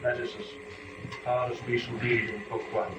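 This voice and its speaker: A man speaking in a formal, deliberate, articulate way, as a performer presenting poetry to listeners. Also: mid-range voice, level tone. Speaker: performer and lecturer. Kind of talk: dramatic poetry reading and introductory lecture. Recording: echoey room, distant mic, dull, noisy.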